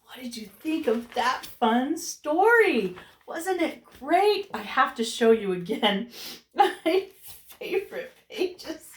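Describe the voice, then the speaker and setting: A woman reading a storybook aloud to young children as a teacher, with animated delivery and chatty asides. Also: high voice, slow pace. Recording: roomy echo, distant microphone.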